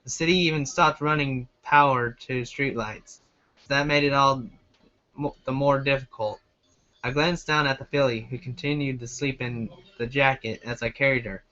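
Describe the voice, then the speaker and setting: A man doing an amateur reading of a story aloud, a little halting as he goes. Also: mid-range voice, fairly even intonation, pushed and bright. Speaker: amateur fan fiction reader. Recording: dull lo-fi home recording.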